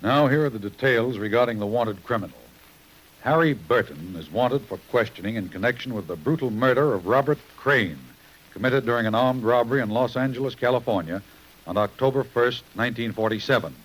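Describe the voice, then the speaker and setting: A male bulletin reader from mid-century radio with a medium-pitched voice. He speaks formally and with official emphasis, on an old broadcast transcription. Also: a little fast, poor audio. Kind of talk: 1950s radio scripted bulletin.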